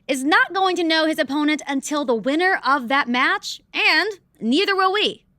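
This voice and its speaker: A brisk female backstage wrestling interviewer in a video game. Her voice is high and bright, recorded dry and close. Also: animated, no room sound. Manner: brisk, informative.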